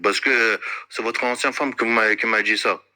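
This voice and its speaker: A man making an unscripted, accusatory complaint in an insistent, repetitive and halting way, searching for words. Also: mid-range voice, steady tone, pushed and bright.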